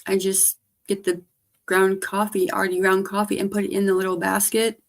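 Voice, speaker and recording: A hoarse woman with a gravelly edge, talking at a middling pitch. She rambles casually to her stream audience, letting her sentences trail off softly. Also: level tone, moderate pace. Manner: rambling, trailing off, soft-spoken. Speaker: livestreamer. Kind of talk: casual livestream chat.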